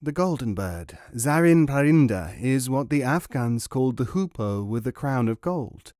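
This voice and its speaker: A man's voice: mid-range voice, lively intonation, moderate pace, darkish tone, no echo, natural voice. A courtly old Persian bookseller, gracious and formal, his voice lifted a little and dry, as a man reading the story puts him on. He talks with polite ceremony, in a close, dead sound.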